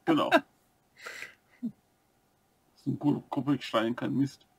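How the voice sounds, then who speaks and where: A man co-hosting a building-set review, explaining the features step by step in a relaxed casual way. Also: medium pitch, slow, creaky, husky, dry recording. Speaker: co-host of a brick-building review channel.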